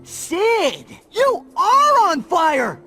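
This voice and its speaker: Small cartoon possum, male, high and very animated, scheming, music behind. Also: very slow, full character voice. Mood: frantic excitement. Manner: excitable, conspiratorial.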